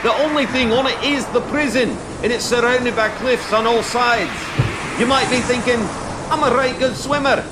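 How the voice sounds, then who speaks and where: A man playing a gruff, blunt guard who gives commanding, sweary warnings in an audio drama. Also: very high voice, very noisy. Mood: loud, stern warning. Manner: commanding, colloquial, sweary, plain-spoken. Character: gruff, blunt male escort guard warning boys about prison.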